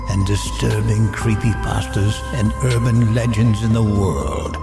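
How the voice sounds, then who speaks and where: Slow, gravelly male horror podcast host. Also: lowish voice, reverberant. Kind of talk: scripted podcast introduction. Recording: dry voice over prominent music.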